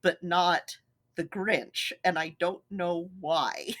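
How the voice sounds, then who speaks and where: A woman's voice: fairly low pitch, steady tone, slow, fairly bright tone, no room sound, studio quality. An American woman co-hosting a podcast in casual conversation. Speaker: podcast co-host.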